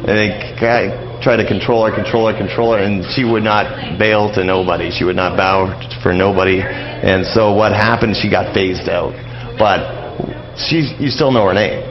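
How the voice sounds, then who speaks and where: A man giving casual, rambling answers as an interview guest, halting and repeating his words. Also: fairly low pitch, fairly even intonation, over music. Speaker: radio show host as interview guest. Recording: dull lo-fi audio, clear background noise.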